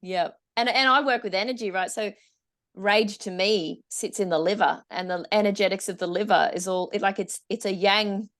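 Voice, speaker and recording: A woman's voice: mid-range voice, normal speed, fairly bright tone. A woman hosting a podcast, talking in a casual, affirming and enthusiastic way. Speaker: podcast host. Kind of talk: casual conversational interview.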